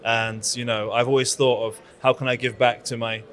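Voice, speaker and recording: British guy in his 30s at a conference booth, talks fast and eager, lots of ums and restarts, handheld mic. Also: medium pitch, flat delivery, a bit bright. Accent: British English. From London. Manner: chatty, enthusiastic, many fillers and restarts. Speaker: conference founder and PR agency owner. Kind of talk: conference interview. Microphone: handheld microphone.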